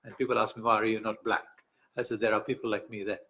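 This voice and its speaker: A man from Tanzania giving a conference speech, halting and self-correcting, with light humor. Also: fairly low pitch, level tone, sharp tone. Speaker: speaker at an academic book event.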